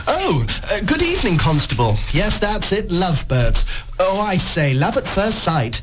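A British actor playing a posh, bumbling, cheerful accomplice to an amateur burglar in a radio play, with a flustered, hesitant, jaunty manner. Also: male voice, high voice, very animated, moderate pace, over music. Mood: flustered bluffing. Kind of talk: radio comedy-mystery acting. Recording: muffled, clearly noisy radio play recording.

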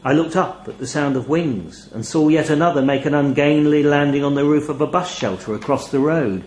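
A man reading a first-person short story aloud in a wry, expressive storytelling manner. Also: fairly high pitch, fairly quick, reverberant, a little hiss or hum, rough recording. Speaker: short story reader, narrator. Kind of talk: scripted first-person fiction narration.

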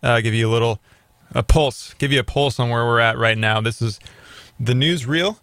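A man's voice: mid-range voice, moderate pace, fairly bright tone, no echo. Guy hosting talk radio, lots of um and uh, dry close mic. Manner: rambling, many fillers and restarts. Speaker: radio show host.

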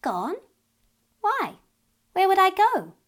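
A woman voicing a curious, stubborn young girl who asks eager questions in a high voice. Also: wildly swooping pitch, takes it slowly, a bit bright, heavily acted.

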